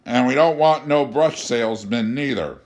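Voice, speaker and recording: A drunk, surly husband, thick-voiced and sneering, with rough, blunt speech, put on by a male storyteller. His voice is loud and pushy. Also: medium pitch, brisk, pitched above the performer's own voice, natural voice. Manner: slurred, sneering, rough grammar.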